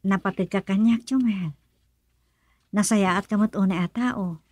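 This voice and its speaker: An actress playing a devoted young friend who consoles and urges, in an Ilocano Filipino radio drama. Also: female voice, mid-range voice, measured pace, a little mellow, no echo, lightly acted. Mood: tender, consoling.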